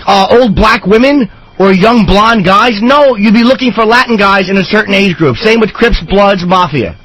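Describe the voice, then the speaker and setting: A man's voice: sits high, fairly bright tone, poor audio. A man co-hosting a radio talk show, arguing insistently with callers and pushing to finish his points. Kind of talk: heated radio debate with callers.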